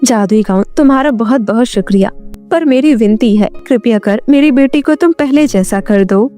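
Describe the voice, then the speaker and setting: A woman voicing a poor, gentle and forgiving middle-aged mother in a storybook tale. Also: a little high, normal speed, soft background music, natural voice. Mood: pleading. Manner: tender, patient.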